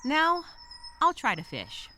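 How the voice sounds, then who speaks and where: A woman playing a scared but determined castaway, speaking her survival journal as a halting, expressive dramatic monologue. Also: high-pitched, slight background noise, exaggerated voice. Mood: hopeful, resolute.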